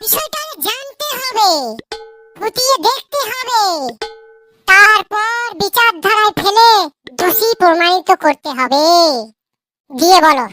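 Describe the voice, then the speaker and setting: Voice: extremely high pitch, a little slow, pushed and bright, faint music behind, full character voice. A performer voicing a cheeky, argumentative village youngster with a West Bengal accent in a comedy cartoon. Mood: mock-official. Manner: cheeky, argumentative, mock-innocent. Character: cheeky squeaky village youngsters needling their uncle.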